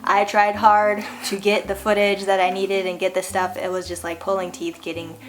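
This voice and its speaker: A woman in her thirties from Hawaii, hosting a relaxed vlog and telling a personal story with frequent laughs and lots of "like". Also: medium pitch, moderate pace. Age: 30s. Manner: chatty, laughs often, lots of "like". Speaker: vlogger host. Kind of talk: casual storytelling chat.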